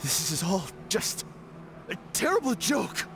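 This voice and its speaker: An actor playing a tormented, bitterly defiant man in a video game, halting, scornful and dramatic. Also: sits high, wildly swooping pitch, background noise, heavily acted. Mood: disbelieving, distraught. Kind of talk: scripted video game acting.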